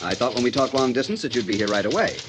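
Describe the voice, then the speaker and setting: An actor playing a fussy, solemn middle-aged insurance executive in a 1950s radio drama, earnest and prone to rambling. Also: male voice, medium pitch, lively intonation, racing, loud music behind the voice, lightly acted.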